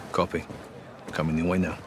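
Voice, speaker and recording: Brisk, low-voiced soldier , a man acting. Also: little pitch movement, very noisy, lightly acted. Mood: terse, wry. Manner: terse, direct. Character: brisk young male special forces sergeant, skeptical. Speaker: special forces sergeant. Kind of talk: video game cinematic acting.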